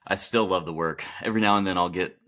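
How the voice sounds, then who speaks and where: A man from the Dallas area of Texas, a hospital chaplain, talking as a podcast guest in a relaxed, reflective way with many fillers and restarts. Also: deep voice, quick pace. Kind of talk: casual interview talk.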